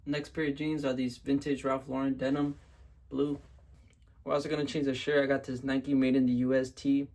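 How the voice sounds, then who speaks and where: This is a young man's casual styling vlog voice, raspy because he has been sick. He talks to his viewers in a relaxed, slangy way. His delivery stays flat and even, and a gravelly creak runs through his words. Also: mid-range voice, normal speed. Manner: laid-back slangy talk to viewers. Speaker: fashion vlogger. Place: at home.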